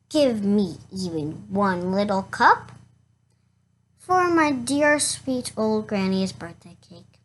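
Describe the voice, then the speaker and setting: Someone reading a children's storybook aloud in a halting way, stumbling over words and going back over them. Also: medium pitch, pushed and bright, slight background noise. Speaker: reader of a children's picture book.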